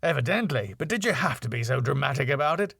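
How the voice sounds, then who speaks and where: A man's voice: mid-range voice, lively intonation, fast, gravelly, fairly bright tone, no room sound, clean studio sound. Man acting out an indignant, withering sitcom grump. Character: indignant, tetchy theatrical man, sitcom dancer.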